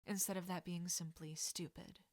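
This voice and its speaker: A woman voicing a confident teenage witch girl in a story, bossy but caring and quick-witted. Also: on the low side, little pitch movement, close to an everyday voice. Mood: matter-of-fact. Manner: firm, casual, non-judgmental.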